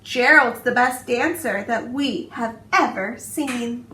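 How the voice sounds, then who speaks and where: A woman voicing excitable storybook jungle animals, exclaiming with stretched-out words. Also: on the high side, takes it slowly. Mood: amazed. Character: jeering crowd of jungle animals, mocking and excitable. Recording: roomy, distant mic, faint noise.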